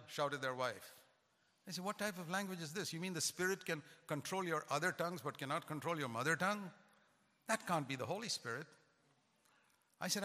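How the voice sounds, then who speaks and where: A man's voice: on the high side, bright, forceful tone, long reverb tail. A man who is a pastor, preaching a sermon with emphatic, repetitive cadence.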